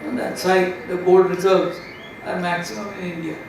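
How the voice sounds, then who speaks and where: A woman's voice: on the low side, little pitch movement, moderate pace, darkish tone, a little hiss or hum. Distant woman panelist in a very echoey hall. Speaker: conference panelist. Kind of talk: reflective spontaneous explanation.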